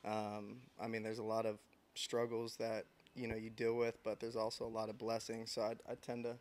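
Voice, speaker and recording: A man in his thirties from San Diego, a podcast guest talking in a reflective, unhurried way with frequent restarts. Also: lowish voice, completely flat delivery, a little mellow. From San Diego, United States. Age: 30s. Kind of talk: casual interview chat.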